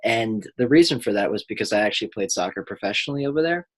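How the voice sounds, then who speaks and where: A man's voice: on the low side, animated, quick pace. A man from the United States, a guest in a casual podcast interview, easygoing and talkative.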